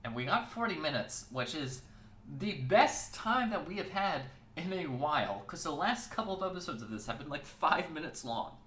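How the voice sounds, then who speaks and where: A man hosting a weekly casual chat show, talking in a rambling way with lots of fillers and restarts, his voice hoarse. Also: fairly high pitch, very animated, normal speed, fairly bright tone, reverberant, a little hiss or hum. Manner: rambling, filler-heavy, self-correcting. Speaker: host.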